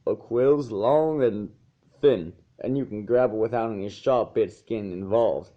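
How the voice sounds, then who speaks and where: Guy doing a slow folksy farm horse, patient steady type, kinda muffled home recording. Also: lowish voice, close to an everyday voice. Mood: patient, approving. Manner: slow, measured, folksy drawl. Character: slow, considered farm stallion pony, steady and encouraging.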